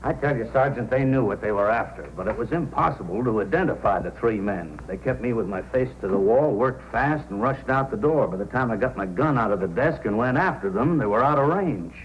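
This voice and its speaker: A man's voice: mid-range voice, animated, a little fast, hardly any pauses, lightly acted. A man acting an earnest frontier trader. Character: aggrieved frontier fur warehouse boss, talkative. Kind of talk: old-time radio acting. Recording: noisy old radio recording.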